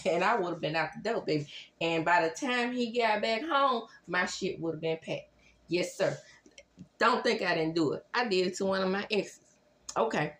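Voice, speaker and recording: A woman giving casual, chatty recap commentary, gossipy, slangy and animated, acting out what people said as she tells stories. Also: fairly low pitch. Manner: animated, sassy, gossipy, slangy, acts out quotes. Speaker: host of a reality TV recap review.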